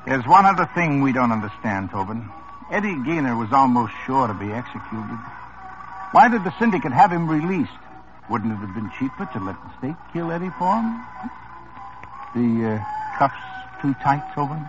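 A man's voice: mid-range voice, sing-song, normal speed, close to an everyday voice. A man playing a mocking cop partner. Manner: mocking, mock-hurt, teasing. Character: mocking male police partner. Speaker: police officer. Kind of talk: 1950s radio drama acting. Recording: dull old radio broadcast with music.

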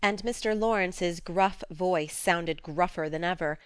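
A woman's voice: lowish voice, unhurried, clean tone, no echo, clean studio sound. A woman reading scripted literary narration as an audiobook narrator.

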